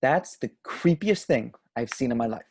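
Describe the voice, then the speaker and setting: A man's voice: mid-range voice, lively intonation, normal speed, a little mellow, slight background noise, close to an everyday voice. A man playing a boyish, sarcastic husband of twenty-nine, with a casual manner, in a table read of a film script. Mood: wry, sarcastic.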